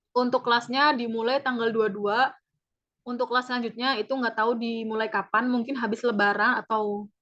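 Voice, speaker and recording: A woman, a public speaking trainer giving a chatty, upbeat webinar pitch and repeating key points. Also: a bit bright. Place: online webinar. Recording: dull lo-fi webinar audio.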